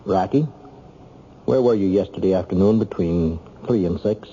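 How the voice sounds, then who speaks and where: A man's voice: low voice, normal speed, mellow, close to an everyday voice. An actor playing a sober, practical city councilman in his thirties, questioning his brother insistently in an old-time radio drama. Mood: probing. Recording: dull, lightly noisy old radio recording.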